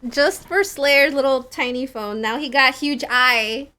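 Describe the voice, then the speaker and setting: A woman's voice: sits high, a little slow, pushed and bright. A young woman chatting informally and casually to viewers on a live game stream, with an easygoing sweary, giggly manner. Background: game music.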